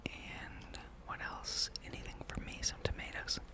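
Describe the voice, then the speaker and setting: A person speaking in a breathy whisper, gently rambling and musing aloud while hosting an ASMR gameplay video. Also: measured pace. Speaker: gamer and video host.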